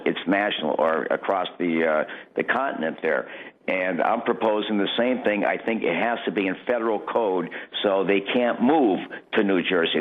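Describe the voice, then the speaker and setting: Male candidate as radio guest, lowish voice, blunt and opinionated, heard over narrow phone-quality audio. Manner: opinionated, blunt, repeats phrases for emphasis. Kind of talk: off-the-cuff political interview. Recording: tinny, narrow phone-like line.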